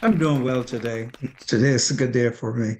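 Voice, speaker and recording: A Barbadian man in his seventies, a podcast guest calmly recounting his life in measured, reflective speech. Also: medium pitch, crowd noise, noisy background. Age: 70s. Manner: calm, measured, reflective with hesitant restarts. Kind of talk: reflective storytelling.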